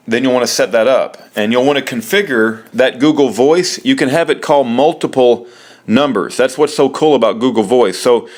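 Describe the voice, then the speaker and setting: A man talking through an informal how-to, explaining step by step in a halting way and repeating himself. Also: fairly low pitch. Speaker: presenter of a how-to video. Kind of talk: informal spoken tutorial, step by step.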